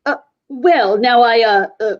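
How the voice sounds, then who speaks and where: A woman's voice: on the high side, a little slow, highs cut off, close to an everyday voice. A woman playing an authoritative but easily flustered judge in a 1940s-style radio play.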